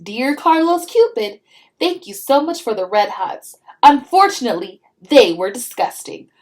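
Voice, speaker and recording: A woman's voice: sits high, very animated, unhurried, darkish tone. A woman voicing a dramatic, demanding little girl from a picture book, with an exclamatory, whiny delivery. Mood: earnest letter-writing.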